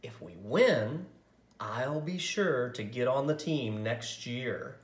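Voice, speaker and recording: A man's voice: mid-range voice, expressive, slow pace, echoey room. A man voicing an eager, stubborn young schoolgirl while reading a picture book aloud. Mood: breathless, excited.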